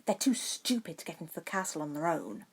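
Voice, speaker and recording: A woman's voice: sits low, animated, quick pace, breathy edge, full character voice. A woman voicing a haughty, privileged schoolboy wizard with a snide, flippant manner in an audiobook. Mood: wary.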